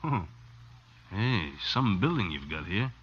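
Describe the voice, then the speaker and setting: A man's voice: on the low side, sing-song, slow, slightly rough, exaggerated voice. An actor playing a suspicious, blustering middle-aged businessman and father with sarcastic incredulity, in 1950s radio drama style. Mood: surprised, skeptical. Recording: muffled vintage 1950s radio recording.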